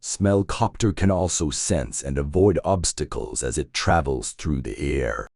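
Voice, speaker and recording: A man's voice: very deep voice, a little slow, gravelly, darkish tone, dry recording, clean studio sound. A man reading scripted science passages aloud as a model reader.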